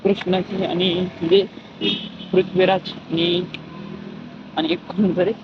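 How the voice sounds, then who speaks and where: A man's voice: high-pitched, level tone, slow pace. Young man vlogging in dull, noisy audio. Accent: rural western Maharashtra. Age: young adult. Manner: rambling, self-correcting. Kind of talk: casual daily vlog chat. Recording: dull lo-fi phone sound, background noise.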